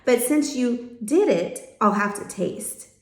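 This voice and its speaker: Woman playing animated older-brother boy, echoey room. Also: on the high side, slow. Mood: scolding, excited. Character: boy narrator, conscientious, mischievous older brother in children's story. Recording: roomy, echoing home-style recording.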